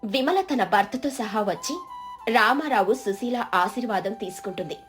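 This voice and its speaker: A woman's voice: medium pitch, animated, moderate pace, soft background music. A woman narrating a scripted moral story as its storyteller.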